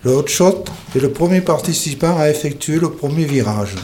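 A man's voice: a little high, expressive, normal speed, darkish tone, a little hiss or hum. A man reading a local sports report in a plain way, with small slips and restarts.

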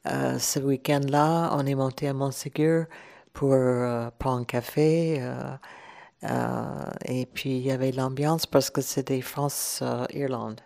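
Slow, gravelly woman speaking French. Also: rumbling low, steady tone, darkish tone. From Ireland. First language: English. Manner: hesitant, searching for French words with many pauses. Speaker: radio guest. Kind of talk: relaxed radio chat.

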